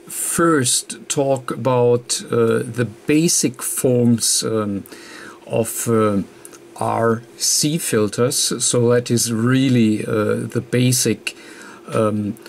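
Very slow, halting man with gravelly voice. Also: mid-range voice, slight background noise. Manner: halting, hesitant, pausing often mid-sentence. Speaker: electronics tutorial presenter. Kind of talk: unscripted technical explanation. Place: indoor workbench, hands and papers filmed.

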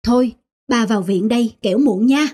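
An actor playing a gentle, caring young father in a cartoon family drama. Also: very high voice, fairly quick. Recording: music behind.

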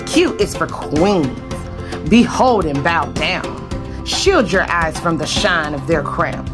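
A woman's voice: sits low, lively intonation, slow. A woman reading a rhyming children's picture book aloud expressively, phrase by phrase, with emphasis. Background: music.

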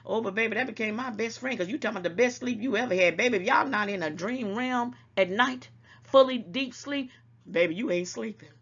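A woman's voice: a little high, a little fast, a bit bright, breathy edge. Scolding older woman, lo-fi sound. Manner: scolding, preachy, calls listeners baby. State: congested at times. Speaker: online talk show host. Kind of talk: casual commentary to viewers.

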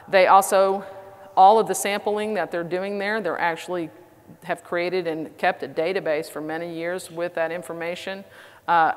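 Middle-aged woman presenting in a reverberant hall. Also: on the low side. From United States. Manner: casual, enthusiastic presenting with fillers. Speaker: conference speaker. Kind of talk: conference presentation. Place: conference hall.

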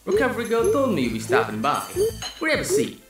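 A man's voice: high voice, huge pitch swings, fairly quick, fairly bright tone, soft background music, full character voice. A man voicing a friendly, obliging, busy school cook in an amateur anime comic dub, in a roomy recording. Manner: easygoing, obliging. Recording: roomy, echoing voice.

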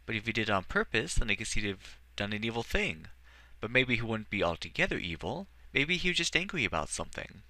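A man's voice: lowish voice, big pitch swings, a little fast, hardly any pauses, sharp tone, no echo, pitched above the performer's own voice, heavily acted. A man voicing an earnest, emotional young boy in a storybook reading, eager and wavering.

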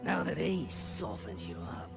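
An actor playing a brutal, taunting cyborg mercenary villain in a game, with a gravelly voice. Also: male voice, a little high, big pitch swings, unhurried, loud music behind the voice, full character voice. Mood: sneering, taunting. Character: brutal, sneering cyborg mercenary villain, middle-aged man.

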